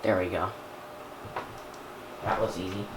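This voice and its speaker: A man with a fairly low voice narrates a hands-on unboxing in a casual, halting way, partly muttering to himself. He is recorded in an echoey room, with clear noise in the background. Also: steady tone. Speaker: YouTuber unboxing and setting up a radio. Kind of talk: casual unboxing narration while handling items.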